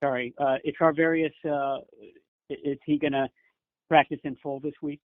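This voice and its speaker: A narrow, dry phone connection carries a somewhat high male voice. He is a reporter who asks his questions haltingly and apologetically. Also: little pitch movement, no echo. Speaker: sports reporter. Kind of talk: press question. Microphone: phone on conference call.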